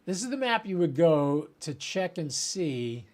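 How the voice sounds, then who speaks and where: A man's voice: fairly high pitch, sing-song, a little slow, a little mellow. A man hosting a hobby channel, giving an informal live demonstration and thinking aloud as he works at his computer. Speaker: host of a ham radio channel. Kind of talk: casual live demonstration, talking to viewers.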